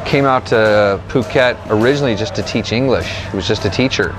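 A man in his thirties being interviewed at an open-air training gym, talking in a halting way with many restarts. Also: lowish voice, over music, rough recording. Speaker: gym staff member. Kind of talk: on-camera interview. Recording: outdoor noise behind the voice.

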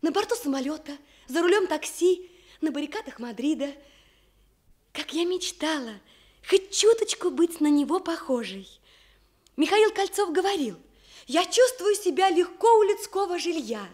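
Very high-voiced young woman journalist. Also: normal speed. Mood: wistful, self-mocking. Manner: expressive, theatrical storytelling. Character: eager young woman journalist narrating her own story. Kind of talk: Soviet radio drama narration.

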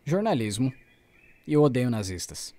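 A man's voice: medium pitch, big pitch swings, moderate pace, dark tone, lightly acted. A Brazilian man acting in an audio drama as a bold, determined journalist who talks in an insistent, colloquial way. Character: determined male journalist, bold, stubborn investigator.

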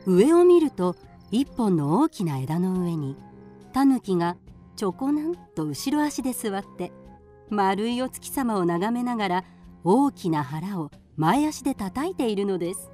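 A woman's voice: medium pitch, big pitch swings, unhurried, warm tone, soft background music. A woman reading scripted storybook narration for a radio drama.

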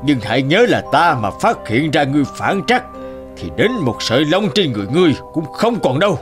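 A man's voice: on the high side, big pitch swings, a little fast, music in the background. Man voicing a haughty, menacing owl chieftain. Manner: commanding, threatening. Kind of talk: cartoon fairy-tale voice acting.

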